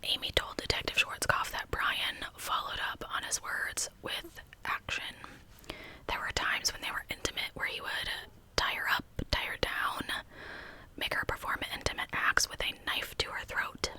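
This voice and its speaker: A woman hosts a true crime ASMR story entirely in a hushed whisper. The sound is very bright and sharp, with almost no voiced tone. She retells the case conversationally, like informal storytelling. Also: moderate pace, poor audio.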